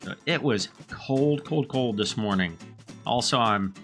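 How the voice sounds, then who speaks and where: A man with a slight head cold, narrating his own game playthrough in a friendly, relaxed way. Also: mid-range voice, a little slow, soft background music. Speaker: let's play host. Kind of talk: gameplay commentary.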